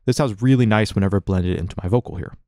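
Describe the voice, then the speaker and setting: A man with a fairly low voice walks through a piece of software like an instructor. He talks very quickly, in a conversational, explanatory way. The sound is very dry, like a close voice-over mic with no room around it. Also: no echo. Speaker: music production tutorial instructor. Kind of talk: casual software tutorial narration.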